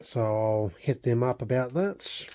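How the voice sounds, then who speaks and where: A man's voice: mid-range voice, unhurried, warm tone, slight background noise. A man with an Australian accent giving a casual, unscripted show-and-tell, hesitant and meandering as he goes. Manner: hesitant, meandering delivery with trailing thoughts. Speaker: hobbyist vlogger.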